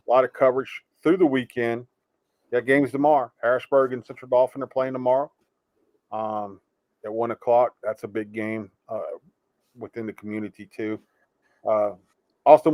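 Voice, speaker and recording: A man co-hosting a live high school football show, making quick, punchy and casual remarks about scores. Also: a little mellow, dry recording. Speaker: co-host. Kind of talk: live sports show chat.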